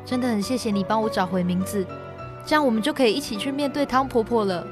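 A woman playing a gentle, reassuring young boy who is a river spirit, in an audio drama. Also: fairly high pitch, quick pace, music in the background, natural voice. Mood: grateful, encouraging.

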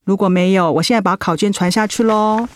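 A woman playing a caring high school homeroom teacher in a radio drama, patient and coaxing, with a Taiwanese Mandarin accent. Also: steady tone, brisk, natural voice. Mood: brisk classroom authority. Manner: gentle, coaxing.

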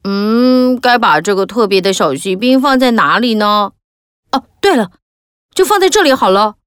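A woman voicing an excited little boy in a children's audiobook, talking in a bright, bouncy, childlike way. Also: a little high, normal speed, clean tone, no echo, clean studio sound. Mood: curious.

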